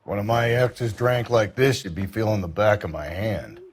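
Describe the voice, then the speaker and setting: An American man playing a crude, cynical 1940s homicide detective in a crime game, gruff and wisecracking. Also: on the low side, moderate pace. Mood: dry, suspicious. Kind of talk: period crime game acting.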